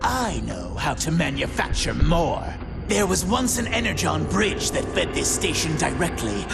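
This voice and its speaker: A man playing a vain, treacherous robot officer in a video game cutscene, haughty and theatrical, with a raspy, gravelly voice. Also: a little high, very animated, a little slow, heavily acted. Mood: wheedling, scheming. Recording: loud music behind.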